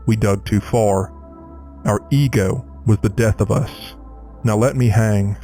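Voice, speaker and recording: A man plays a mournful, doomed figure in an analog horror tale. The voice is low and dark, with a distorted effect. It chants fatalistic verse slowly over faint music. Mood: mournful, hopeless. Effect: distorted, reversed-speech horror processing.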